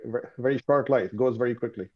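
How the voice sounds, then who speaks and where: Field: man, reflective, muffled call. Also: mid-range voice. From Pakistan. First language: Urdu. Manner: reflective and philosophical, halting with many fillers. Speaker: interviewee. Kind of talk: casual conversation on a call.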